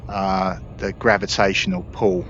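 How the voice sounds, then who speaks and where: A man with a fairly low voice talks casually through his gameplay. The audio is a little dull, with faint noise from the spaceship game behind him. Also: flat delivery, unhurried, a bit bright. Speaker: gaming video creator. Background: spaceship game ambience.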